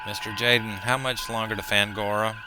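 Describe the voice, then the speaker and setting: A man playing a steady, commanding starship captain in a sci-fi audio drama. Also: lowish voice, fairly quick, very noisy, natural voice. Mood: composed, authoritative.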